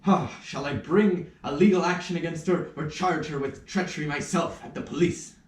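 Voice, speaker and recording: A man's voice: on the high side, moderate pace, natural voice. A man playing a flustered, pompous, self-important minor official in a theatrical puppet show, stammering with indignation. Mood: anguished. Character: flustered, pompous minor official who lost his nose. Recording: roomy, distant rehearsal room recording.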